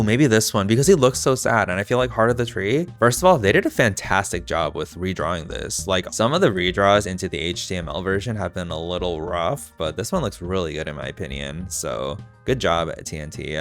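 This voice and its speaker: A young man with a deep voice and natural vocal fry, rambling casually and campily as he plays a game. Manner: chatty, campy, self-mocking asides. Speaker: gaming video host. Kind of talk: casual rambling commentary while playing.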